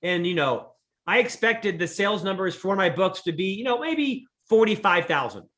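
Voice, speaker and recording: High-voiced middle-aged man, earnest storyteller. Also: lively intonation, normal speed, fairly bright tone. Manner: earnest, self-deprecating storyteller. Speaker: podcast guest, leadership expert. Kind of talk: conversational interview.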